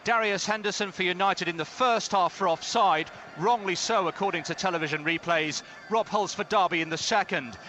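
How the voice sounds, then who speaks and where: A man's voice: high-pitched, a little fast, hardly any pauses, pushed and bright. A man delivering a scripted football match report as a reporter at a stadium.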